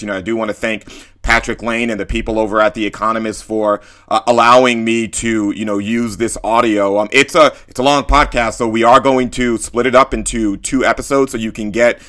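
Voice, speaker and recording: A man hosting a podcast, introducing an episode in a halting, casual way. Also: on the low side, steady tone, a bit bright. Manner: halting, lots of fillers and restarts. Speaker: podcast host.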